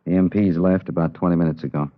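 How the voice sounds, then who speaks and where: An actor playing a matter-of-fact police officer giving clipped, businesslike reports in a 1950s radio crime drama. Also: male voice, deep voice, fairly even intonation, quick pace, close to an everyday voice. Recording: muffled vintage radio recording, busy background voices.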